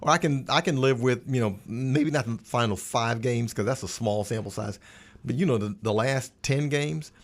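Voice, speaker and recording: A man's voice: mid-range voice, moderate pace. A man in a casual sports roundtable, conversational and repeating phrases for emphasis. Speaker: sports radio commentator.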